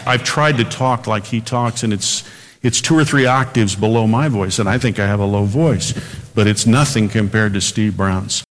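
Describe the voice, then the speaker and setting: Man, pastor, radio sermon, low-pitched, earnest, slow on verses, long hall reverb. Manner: earnest preaching, slows down on scripture.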